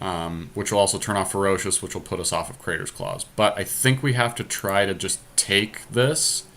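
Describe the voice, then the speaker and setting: A man with a fairly low voice gives casual play-by-play on a card game. He deliberates aloud, working through each option step by step. There is some background noise on the amateur recording. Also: a bit bright. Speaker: podcast co-host playing and narrating an online card game.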